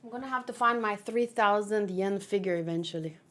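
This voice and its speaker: A woman's voice: mid-range voice, fairly quick, slight background noise. A woman hosting a manga read-along, chatting in a rambling, informal way and swearing casually. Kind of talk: casual fan chat mixed with animated manga read-aloud.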